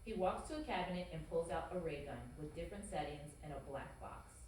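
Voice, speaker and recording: A woman's voice: sits low, lively intonation, a little fast, a little mellow, far from the mic. A woman narrating stage directions from a script at a table read. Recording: very echoey room, faint noise.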